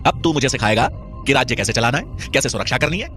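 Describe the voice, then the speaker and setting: A man's voice: medium pitch, animated, fast talker, a bit bright, faint music behind, voice pushed up, natural voice. A man voicing an arrogant, furious tyrant king in a dramatized historical story, contemptuous and commanding. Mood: scornful anger.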